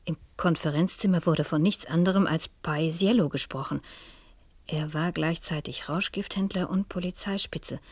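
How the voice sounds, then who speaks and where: An actress playing an educated, anxious middle-aged schoolteacher who narrates her own mystery in a reflective, often hushed radio-drama style. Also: deep voice, moderate pace, no room sound. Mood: tense, pondering. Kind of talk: 1970s German radio drama acting, intimate first-person narration.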